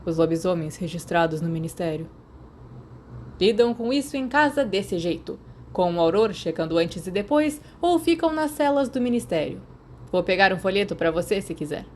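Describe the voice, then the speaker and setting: A woman's voice: on the low side, expressive, moderate pace, a little mellow, lightly acted. A Brazilian woman voicing a brusque, practical male wizard officer in an audiobook. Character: gruff, matter-of-fact male wizard law officer. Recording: clear background noise.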